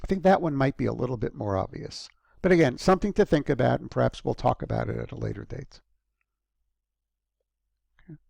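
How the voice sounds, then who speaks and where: Gravelly-voiced male lecturer, dry close sound. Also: medium pitch, expressive, a little fast, pauses often, darkish tone, no echo. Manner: conversational, frequent ums and restarts. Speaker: university lecturer. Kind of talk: recorded course lecture.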